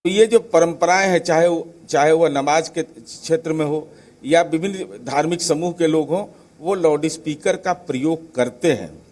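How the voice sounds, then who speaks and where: Male politician, slightly raised pitch, formal, winding sentences, press soundbite. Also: moderate pace, a little mellow.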